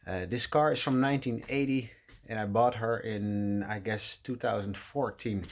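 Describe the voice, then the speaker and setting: A man's voice: fairly low pitch, normal speed. Hesitant Dutch-accented man in a garage. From Netherlands. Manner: hesitant, many uhs, apologetic. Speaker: car restoration vlogger. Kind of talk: casual walkaround vlog. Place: garage or workshop beside his car.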